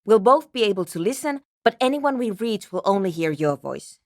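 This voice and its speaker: A woman's voice: medium pitch, sing-song, moderate pace, no echo, clean studio sound. A woman playing an earnest, exacting programmer and radio technician in a video game, speaking in precise, formal, clipped technical phrases. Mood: businesslike. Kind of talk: video game character dialogue.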